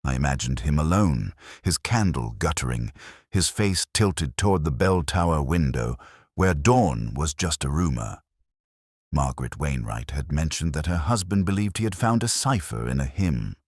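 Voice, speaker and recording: A middle-aged man reading a scripted first-person detective story in a measured, brooding, literary manner. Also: a bass voice, expressive, slightly rough, dry recording, clean studio sound.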